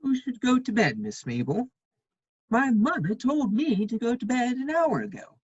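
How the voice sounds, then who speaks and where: A man plays a droll, idle lord in a period stage comedy. The voice is husky and lively in pitch, flippantly witty, on a dull lo-fi recording. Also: normal speed, no room sound, heavily acted. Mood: droll, teasing. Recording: dull, dry lo-fi microphone.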